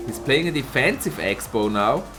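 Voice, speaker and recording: A man's voice: medium pitch, normal speed, faint music behind. A man with a slight cold commentating an esports match and chatting with viewers, laughing often. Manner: lively, laughs often, greets chat viewers by name. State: a bit hoarse from a cold. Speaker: esports caster and streamer. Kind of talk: live tournament commentary with chat replies.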